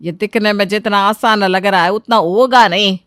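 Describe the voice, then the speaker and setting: A woman's voice: medium pitch, steady tone, moderate pace, fairly bright tone, no room sound, studio quality, natural voice. A woman voicing a bossy, dramatic South Indian mother-in-law in a Hindi cartoon story, exclamatory and scolding, with a South Indian accent. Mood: practical. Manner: exclamatory, scolding, ends phrases with a tag.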